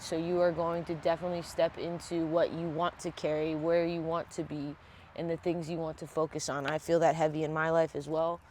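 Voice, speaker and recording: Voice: mid-range voice, a little mellow, slight background noise. A tarot reader with a congested, stuffy voice, giving a casual reading at home in blunt, profane everyday talk. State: congested from a sinus infection.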